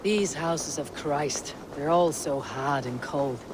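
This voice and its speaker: A woman playing a calm, stern Viking leader in a video game, speaking firmly and gravely as a peacemaker. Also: sits low, noisy background. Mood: sombre. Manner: firm, measured, grave. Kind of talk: video game character acting.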